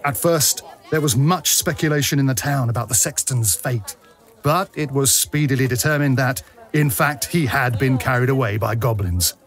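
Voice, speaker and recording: A man narrating a dramatic scripted story in measured, deliberate phrases. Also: medium pitch. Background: music.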